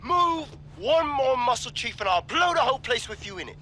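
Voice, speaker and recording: A man's voice: very high-pitched, sing-song, normal speed, noisy background. A man playing a suspicious, foul-mouthed fugitive tech genius with a British accent in a video game, agitated and confrontational. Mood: threatening, suspicious. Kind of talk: video game cutscene acting.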